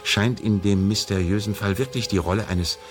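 A man's voice: lowish voice, moderate pace, lightly acted. An actor with a Hamburg accent playing a man who expounds a mysterious case in a theatrical audio drama. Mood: measured, intrigued. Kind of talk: German audio drama acting. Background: music.

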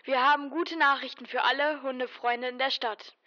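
A young female acts as a radio intern newsreader. Her voice is high, sharp and eager, with slightly dulled sound. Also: steady tone, moderate pace, highs cut off. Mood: upbeat, newsy. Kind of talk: scripted news in amateur radio play.

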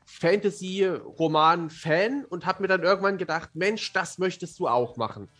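A man's voice: high voice, moderate pace, bright, forceful tone. A man chatting casually as a guest in an interview, with a wry, self-deprecating, colloquial way of talking. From Germany. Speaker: fantasy author, podcast guest.

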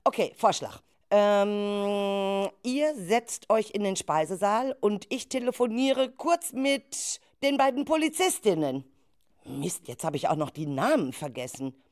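A woman's voice: medium pitch, expressive, measured pace, darkish tone, natural voice. A woman playing a worried, stern children's group leader in a children's radio play, with emphatic, exasperated delivery. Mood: conciliatory.

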